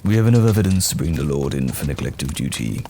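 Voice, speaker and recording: A man playing a gruff but kindly knight general in a fantasy audio roleplay, speaking in hearty, archaic soldierly phrases with a gravelly voice. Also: very animated, quick pace, mellow, slight background noise. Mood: brisk, indignant. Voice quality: very deep, gravelly.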